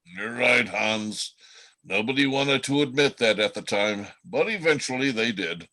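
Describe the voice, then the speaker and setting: Man acting wry reindeer storyteller, bright forceful voice. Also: medium pitch, expressive, unhurried, full character voice. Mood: wry, reminiscing. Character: old male reindeer Dasher, wry world-weary storyteller. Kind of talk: radio play reading.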